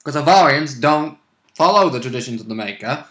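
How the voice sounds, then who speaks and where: Casting: male gamer, bright mid-pitched voice, rambling playful chat, roomy sound. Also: lively intonation, brisk. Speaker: player in a tabletop role-playing game. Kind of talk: casual game chat. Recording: roomy, echoing room sound.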